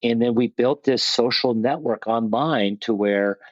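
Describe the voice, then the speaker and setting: A man's voice: medium pitch, a little slow, no room sound, dull audio. A man being interviewed about his veterans program, speaking in a plainspoken, rambling, self-deprecating way with frequent restarts. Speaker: guest, veterans motorsport program leader. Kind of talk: conversational interview.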